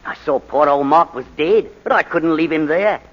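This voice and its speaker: An actor playing a blunt, slangy ex-soldier on the witness stand in a 1940s radio drama. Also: male voice, a little high, moderate pace, close to an everyday voice. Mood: grim, emphatic. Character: blunt, shifty English ex-soldier testifying in court. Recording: muffled old 1940s radio recording.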